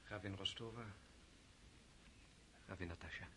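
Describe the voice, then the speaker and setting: A man's voice: sits low, steady tone, a little slow, very noisy. An actor playing an earnest, formal young nobleman asking for a girl's hand in a radio play.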